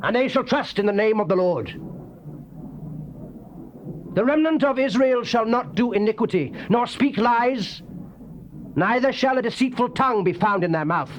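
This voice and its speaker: An actor playing a fervent Old Testament prophet in a dramatized scripture reading, declaiming with exultant solemnity. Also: male voice, extremely high pitch, expressive, moderate pace, long pauses. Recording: dull, noisy old cassette tape.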